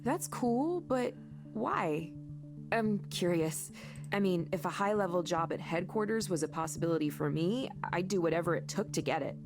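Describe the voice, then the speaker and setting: A frank, kindly game character, a co-worker who speaks conversationally. Her voice sits a little low, over quiet music. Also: lively intonation, normal speed. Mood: friendly curiosity. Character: candid, weary but kindly woman, friendly co-worker. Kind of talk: visual novel voice acting.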